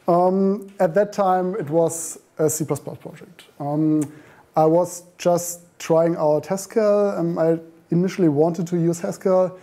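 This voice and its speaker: A man's voice: sits high, steady tone, unhurried, warm tone. Man giving a casual tech talk. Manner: informal, frequent ums and self-corrections. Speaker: meetup speaker. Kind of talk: conference talk. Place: meeting room. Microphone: far camera.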